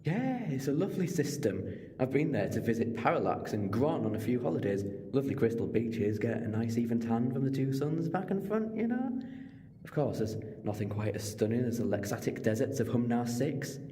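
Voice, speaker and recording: A man's voice: medium pitch, sing-song, dark tone, lightly acted. A man playing a clever, chatty alien time traveller in an audio drama, explaining things conversationally with moral earnestness. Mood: breezy, wry. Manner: expository, conversational, quick to digress. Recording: long reverberant hall.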